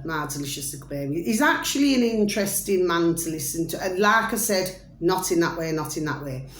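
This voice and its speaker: Loud rambling woman vlogger. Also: medium pitch. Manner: loud, chatty, rambling, keeps addressing viewers with a pet phrase. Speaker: vlogger reviewing videos. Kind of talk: rambling casual commentary to viewers. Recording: echoey room with faint noise.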